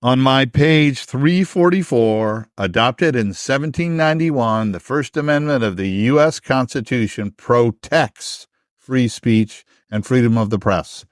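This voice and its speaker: Man playing an earnest, slow-spoken history book puppet. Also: mid-range voice, dry recording, studio quality, natural voice. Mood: firm, earnest. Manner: declarative, instructive. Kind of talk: musical puppet show acting.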